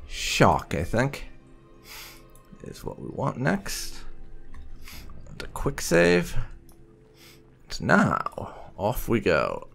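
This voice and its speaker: A man gives casual gameplay commentary, muttering with dry sarcasm. His delivery is unhurried and his pitch swings extremely widely, with faint music behind him. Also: wildly swooping pitch, vocal fry, a bit bright. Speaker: gaming host.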